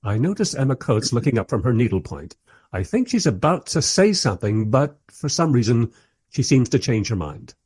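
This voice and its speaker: An actor playing a polite, squeamish documentary interviewer who narrates in a hesitant, wry manner in a radio play. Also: male voice, medium pitch, sing-song, moderate pace, a little mellow, slightly rough, dry recording, studio quality. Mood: dry exasperation.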